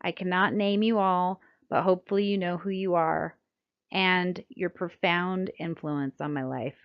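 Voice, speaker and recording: A middle-aged American woman reads a scripted text aloud as a children's author, in a deliberate, expressive storytelling manner. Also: fairly low pitch, clear, resonant tone. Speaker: children's book author reading her own book. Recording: dull, lo-fi sound.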